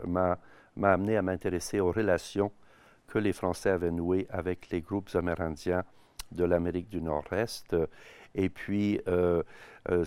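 Low-voiced professor, hesitant. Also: male voice, darkish tone, clear, resonant tone, no room sound. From Canada. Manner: hesitant, many "euh" fillers, measured. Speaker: history and ethnology professor. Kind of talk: interview, career account.

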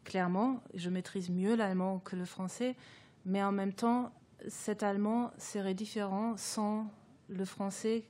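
A woman's voice: medium pitch, fairly even intonation, measured pace, dark tone. A woman whose first language is German, a guest author speaking reflectively in an academic discussion, thoughtful and hesitant with many fillers and restarts.

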